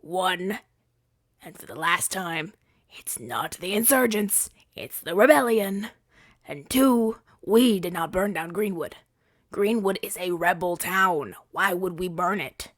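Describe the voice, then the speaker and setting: A man's voice: high-pitched, expressive, slow pace, a bit bright, dry recording, studio quality, exaggerated voice. A man playing an earnest, defensive hero of a fantasy rebellion in an audio roleplay, arguing in a conversational, stammering, exasperated way. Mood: frustrated, pleading. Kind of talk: ASMR-style audio roleplay acting.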